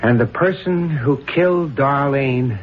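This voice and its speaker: An actor playing a wronged, devout young condemned man in a 1970s radio drama, speaking gravely and haltingly. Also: mid-range voice, natural voice. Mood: solemn, wronged. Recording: dull vintage radio, faint noise.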